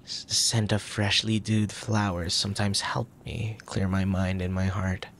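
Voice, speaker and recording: A man playing a soft, tender young crown prince in an intimate ASMR roleplay, speaking formally with a gentle, hesitant manner and a troubled air. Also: sits low, level tone, unhurried, a little hiss or hum. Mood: apologetic, troubled. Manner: formal old-fashioned wording, gentle, hesitant.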